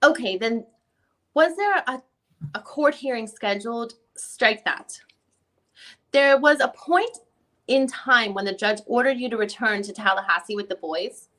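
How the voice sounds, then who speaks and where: Woman reading as a high-voiced, probing female lawyer. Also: a little slow, a bit bright. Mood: questioning. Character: probing female defense lawyer questioning a witness. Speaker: lawyer in a deposition reenactment. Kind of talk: dramatic reading of a deposition transcript.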